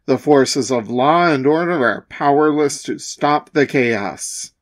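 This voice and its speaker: A man's voice: mid-range voice, takes it slowly, voice pushed down, close to an everyday voice. A man playing a solemn, dramatic comic-book narrator with a grave, portentous manner.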